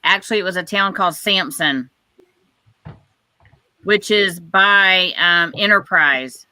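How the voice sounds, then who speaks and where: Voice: medium pitch, takes it slowly, sharp tone, no room sound. A speaker in their forties hosting a casual group video call, asking the others friendly questions. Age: 40s. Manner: friendly, inquisitive.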